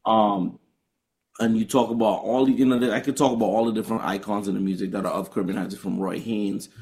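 Deep gravelly Trinidadian man. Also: fairly even intonation, fast talker, darkish tone. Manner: earnest, restarts phrases, builds explanations. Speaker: touring jazz musician, guest. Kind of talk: interview answers.

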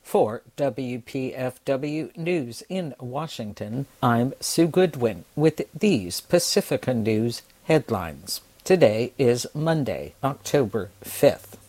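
A woman news anchor reading scripted radio headlines in a measured, formal delivery. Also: very deep voice, lively intonation, dark tone.

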